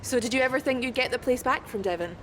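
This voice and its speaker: An actress playing a brisk, professional female motorsport interviewer in a video game. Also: sits high, fairly bright tone, soft background music. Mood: inquisitive. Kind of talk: scripted post-race interview in a video game.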